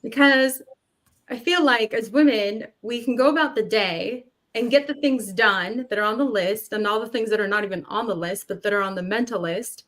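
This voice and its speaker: A woman speaking earnestly as a panelist in an online discussion, pausing to stress key words. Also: a little high, fairly bright tone.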